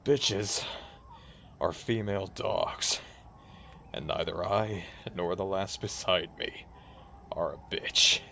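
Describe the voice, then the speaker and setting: A man's voice: sits low, fairly even intonation, takes it slowly, vocal fry, long pauses, bright, forceful tone, slight background noise, full character voice. An actor playing a cocky, rugged, loyal male werewolf in an audio drama, with a theatrical, drawling delivery. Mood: cocky, teasing.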